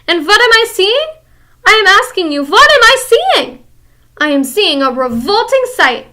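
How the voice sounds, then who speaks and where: A woman's voice: very high-pitched, very animated, moderate pace, pushed and bright, pitched above the performer's own voice, full character voice. A woman voicing a tyrannical female witch leader who screams and rants her commands. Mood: furious. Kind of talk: audiobook character reading.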